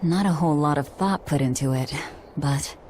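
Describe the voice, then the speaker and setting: Actress as a deadpan, low-voiced fighter woman. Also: normal speed, a little hiss or hum, natural voice. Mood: dry. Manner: deadpan, curt. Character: blunt, dry, brooding young female fighter. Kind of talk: English video game voice acting.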